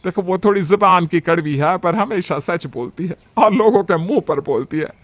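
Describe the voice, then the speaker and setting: Gentle middle-aged mother-in-law played by a man, conciliatory and instructive, cartoon family tale, slightly high, dry sound. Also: expressive, normal speed, no room sound. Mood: gently instructing. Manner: soothing, instructive. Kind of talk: Hindi cartoon family story acting.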